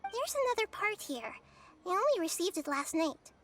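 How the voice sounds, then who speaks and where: Very high-voiced, shy young tinkerer. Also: female voice, very animated, normal speed, a bit bright, heavily acted. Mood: matter-of-fact. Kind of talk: video game voice acting.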